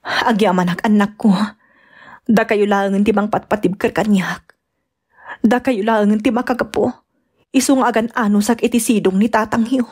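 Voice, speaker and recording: A woman's voice: mid-range voice, steady tone, normal speed, long pauses, a little mellow, breathy edge, close to an everyday voice. A woman playing a loving, long-suffering mother and wife in an Ilocano audio drama, earnest and pleading, with an Ilocano accent. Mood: tender, weary. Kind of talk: Ilocano radio-style drama acting.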